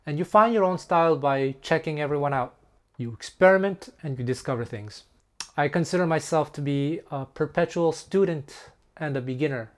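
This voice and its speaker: Man, middle-aged, Taiwanese speaker, slightly high voice, casual teacher, earnest, halting with repeated words. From French-speaking Canada. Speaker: guitar teacher. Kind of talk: casual talk to camera. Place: small room indoors.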